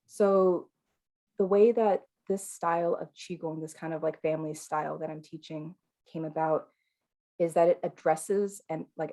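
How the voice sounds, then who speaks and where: Brief: relaxed female-voiced qigong teacher, soothing tone, sits a bit low, casual and chatty. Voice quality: calm, soothing. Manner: chatty, relaxed, frequent fillers and laughs. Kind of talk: casual live online class.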